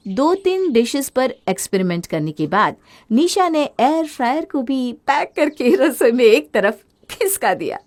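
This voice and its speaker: A woman's voice: high-pitched, sing-song, measured pace, darkish tone. A woman narrating a scripted domestic moral story as a storyteller.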